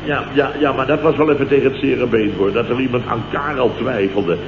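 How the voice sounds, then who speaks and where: An actor playing a grumbling, indignant boss with a Dutch accent, blustering sarcastically in 1970s radio comedy style. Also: male voice, mid-range voice, a little fast, close to an everyday voice. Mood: exasperated. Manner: blustering, exclamatory, sarcastic storytelling. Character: grumbling, indignant male boss recounting his mishaps. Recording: noisy, dull, roomy old radio recording.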